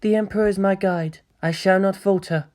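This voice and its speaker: An audiobook narrator voicing a calm, devout young female pilot with a solemn, measured delivery. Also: mid-range voice, lightly acted.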